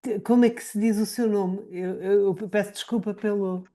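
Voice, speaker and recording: A woman's voice: medium pitch, steady tone, normal speed, dark tone. A woman from Portugal with a European Portuguese accent, casually moderating a session on a video call.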